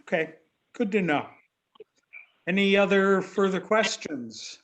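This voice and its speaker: A man's voice: high-pitched, expressive, takes it slowly, fairly bright tone, muffled recording. A man chairing a remote public board meeting, brief and procedural.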